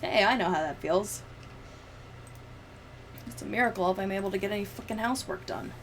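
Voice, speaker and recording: Woman, young adult, casual stream chat, sweary and sardonic, mid pitch, faint background noise. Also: a little fast. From United States. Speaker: livestreamer editing a video. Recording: clear background noise, room ambience.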